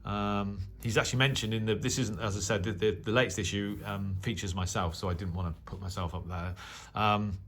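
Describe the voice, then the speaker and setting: A man with a fairly deep voice talks through material casually, as a hobby channel host would. He is unscripted and conversational, pausing often on ums and feeling his way through sentences. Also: fairly even intonation, a bit bright. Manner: hesitant, halting, conversational delivery with many filled pauses.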